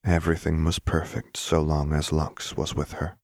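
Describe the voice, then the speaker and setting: A man's voice: a bass voice, level tone, moderate pace, no room sound, studio quality. A man narrating dramatic fiction as a scripted audiobook reading.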